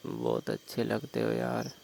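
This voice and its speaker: Very creaky, flirtatious village man acted by a man. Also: lowish voice, big pitch swings, a little slow, a little mellow, slight background noise, full character voice. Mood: probing, flirtatious. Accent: Rajasthani. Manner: coaxing, persistent, informal. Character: flirtatious, pushy village brother-in-law coaxing on night call. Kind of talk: staged phone-call role-play in casual talk.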